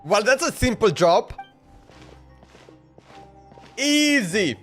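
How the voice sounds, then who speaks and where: A woman gives casual live commentary on a game with very animated, varied pitch. She is informal and playful, and game music plays behind her. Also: extremely slow. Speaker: game streamer.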